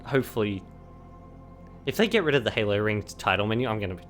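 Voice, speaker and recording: A young man co-hosting a casual gaming podcast, giggling and rambling with lots of fillers while his voice is going hoarse. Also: fairly low pitch, sing-song, fast, a bit bright, soft background music. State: losing his voice. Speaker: podcast co-host. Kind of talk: casual chat.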